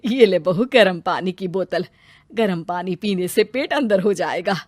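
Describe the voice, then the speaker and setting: A woman's voice: fairly low pitch, lively intonation, a little slow, darkish tone, no echo, clean studio sound. A woman voicing a doting, middle-aged mother-in-law in a moral story, motherly and coaxing with a sly streak. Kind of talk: animated moral story dialogue.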